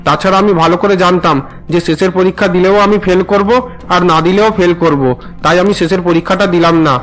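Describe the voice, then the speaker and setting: A man's voice: high-pitched, steady tone, fast talker, fairly bright tone. A man voicing a defiant, sulky teenage schoolboy in a melodramatic cartoon, complaining and justifying himself. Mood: defensive. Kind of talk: melodramatic Bengali cartoon dubbing.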